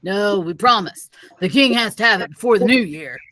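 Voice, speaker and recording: A woman's voice: medium pitch, lively intonation, moderate pace, bright, forceful tone, no echo. A woman reading the part of a callous, money-minded male kidnapper in a children's story, dismissive and persuasive.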